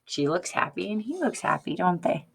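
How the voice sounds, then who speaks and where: A woman reading a picture book aloud to children in a deliberate, expressive teacher's manner, prompting her listeners. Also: lowish voice, vocal fry, background noise.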